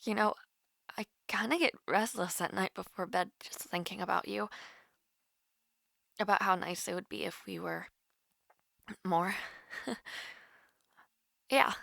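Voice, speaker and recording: A woman playing a nervous, smitten young woman in an intimate romantic audio roleplay, halting and flustered. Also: mid-range voice, fairly even intonation, a bit bright, dry recording, clean studio sound. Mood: tender, bashful. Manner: halting, flustered, breathy sighs and whispers.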